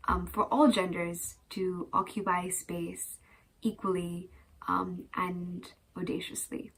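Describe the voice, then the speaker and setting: A woman's voice: fairly low pitch, pushed and bright, low-quality recording. A woman explaining her film thoughtfully, with hesitant fillers. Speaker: young filmmaker.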